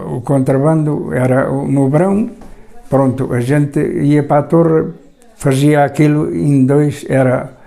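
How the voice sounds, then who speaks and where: An older Portuguese man telling stories from the past in plain casual talk. His voice is gravelly and mellow, and he often breaks off and starts over while he looks for words. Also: mid-range voice. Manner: halting, searching for words, many restarts. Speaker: local interviewee. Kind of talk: recalling memories in casual talk.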